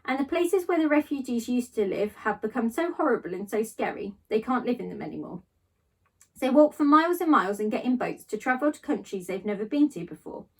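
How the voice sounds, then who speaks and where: A woman with a fairly high voice reads to children as a teacher would. Her delivery is expressive storytelling, recorded from a little distance off the microphone. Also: breathy edge. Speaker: teacher reading aloud to pupils.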